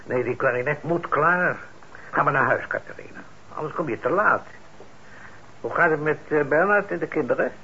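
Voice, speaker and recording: A man's voice: a little high, normal speed, vocal fry. An actor playing a mild, patient old shopkeeper and father in a radio play, speaking hesitantly. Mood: gentle. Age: older. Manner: patient, hesitant, soft-hearted. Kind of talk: Dutch radio play acting. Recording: dull, noisy old radio recording.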